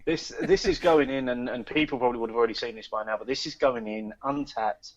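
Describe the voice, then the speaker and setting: A man's voice: medium pitch, fast, dry recording. A man co-hosting a beer podcast, rambling casually with lots of ums and restarts. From East Anglia, England. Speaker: podcast co-host. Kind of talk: casual beer review chat. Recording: dry dull lo-fi podcast recording.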